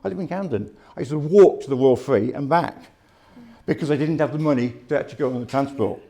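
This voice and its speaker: A man in his fifties speaks to a live audience in a candid, earnest way. His voice is mellow and sits at an ordinary pitch. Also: fast. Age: 50s. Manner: candid, plain-spoken, earnest. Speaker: speaker sharing lived experience. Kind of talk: personal testimony at a live talk. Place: live talk before an audience.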